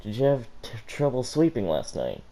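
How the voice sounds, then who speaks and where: An earnest teenage girl from a story, played by a man, concerned and gently questioning. The tone is very mellow and rounded. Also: medium pitch, wildly swooping pitch, moderate pace, creaky, exaggerated voice.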